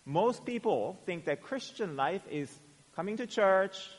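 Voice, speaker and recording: A man in his forties from South Korea with a Korean accent, preaching a sermon as pastor, earnest, stressing words and then softening at the ends of phrases. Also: high voice, animated, unhurried. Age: 40s. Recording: long hall reverb.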